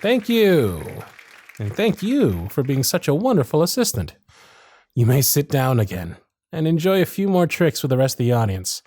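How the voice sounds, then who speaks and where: A man playing a showy stage magician, addressing the crowd in grand, drawn-out, theatrical patter for an audio roleplay. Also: fairly high pitch, wildly swooping pitch, darkish tone, no room sound. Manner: grand projected patter, drawn-out dramatic phrasing. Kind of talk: ASMR roleplay stage performance.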